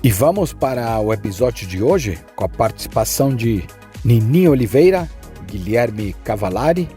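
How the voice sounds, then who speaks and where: A man's voice: mid-range voice, lively intonation, moderate pace, warm tone, faint music behind. A man hosting the show, giving an upbeat scripted introduction in the manner of an announcer. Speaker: show host.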